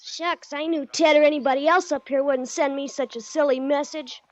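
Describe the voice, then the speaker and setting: A performer playing an earnest, excitable young boy in a 1940s radio drama, eager and breathless, with an American accent. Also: sits high, moderate pace, loud music behind the voice, lightly acted. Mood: excited. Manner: breathless, gee-shucks eagerness. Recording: muffled old radio recording.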